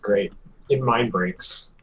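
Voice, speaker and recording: A man's voice: fairly low pitch, slow pace, fairly bright tone, rough recording. A man co-hosting a podcast in casual group chat, joking and teasing. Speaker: podcast co-host.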